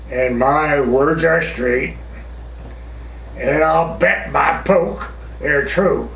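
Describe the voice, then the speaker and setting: A grim gunfighter from a frontier ballad, acted by a man reciting it, speaks slowly and deliberately. He sounds menacing and bent on revenge. The sound is roomy and set back from the mic. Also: medium pitch, creaky, a bit bright, pitched below the performer's own voice, full character voice. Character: grim vengeful male stranger, a frontier gunfighter. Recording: roomy, distant mic with faint noise.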